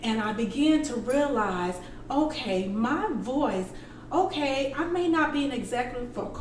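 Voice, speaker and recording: A woman's voice: high-pitched, expressive, unhurried, recorded from a distance, a little hiss or hum. A woman sharing a faith testimony in a fervent, preacherly way at a table chat in a living room. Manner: fervent, preacherly, laughs easily. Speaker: church guest. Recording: roomy, from across the room.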